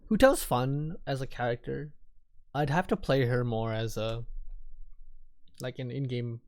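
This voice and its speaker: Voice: mid-range voice, unhurried, a little mellow. A game streamer giving casual live commentary, rambling and repeating phrases while thinking aloud.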